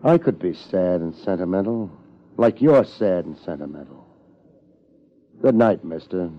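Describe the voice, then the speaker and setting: An actor playing a tough, unflappable American private investigator with a terse, hard-boiled manner in a 1950s radio drama, on a muffled, noisy old recording. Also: male voice, sits low, lively intonation, a little slow. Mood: wry. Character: tough, unflappable male private investigator narrating his case.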